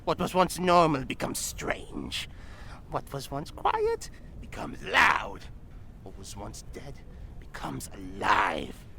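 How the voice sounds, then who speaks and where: A woman's voice: huge pitch swings, takes it slowly, creaky, a bit bright, full character voice. A woman playing a menacing, bloodthirsty demon in the shape of an old lady, making theatrical, ominous pronouncements in audio fiction comedy. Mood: ominous relish. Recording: low rumbling background noise.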